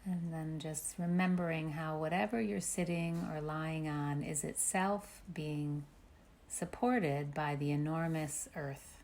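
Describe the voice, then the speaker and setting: Woman, low pitch, very slow pace, gentle meditation guidance, long pauses. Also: steady tone. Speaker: meditation teacher. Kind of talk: guided meditation.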